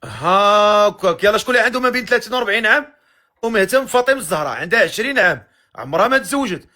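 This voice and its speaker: Fast-talking Moroccan man, high and bright. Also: animated. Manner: teasing, quick-fire questioning. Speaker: matchmaking live-stream host. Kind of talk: live-stream matchmaking chat.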